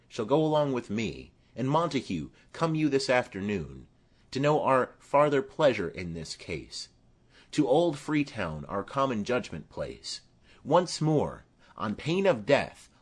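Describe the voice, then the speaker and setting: A man's voice: mid-range voice, sing-song, measured pace, husky. A man playing a stern, outraged prince who commands his subjects in declamatory Shakespearean style. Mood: stern, commanding. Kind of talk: Shakespearean audiobook acting.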